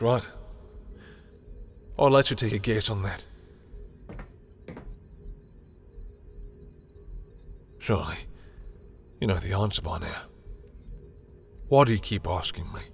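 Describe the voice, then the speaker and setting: Male actor as proud villain with powers, lowish voice, guarded and secretly smitten, intense halting confessional delivery for romantic audio drama, music underneath. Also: vocal fry, a bit bright, slightly rough, heavily acted. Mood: angry, exasperated. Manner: intense, halting confession, swearing, sometimes dropping to whispers. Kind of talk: dramatic audio roleplay monologue addressed to the listener. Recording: music behind the voice.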